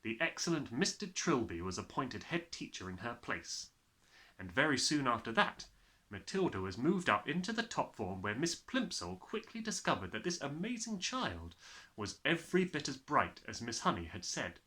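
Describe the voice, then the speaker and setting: Animated, bright-voiced man reading a story. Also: mid-range voice, moderate pace. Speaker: audiobook reader. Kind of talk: expressive children's storybook narration.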